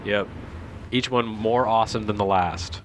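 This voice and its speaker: A man's voice: on the low side, unhurried, background noise. A man giving casual, joking commentary on video game cutscenes with dry, sarcastic humour.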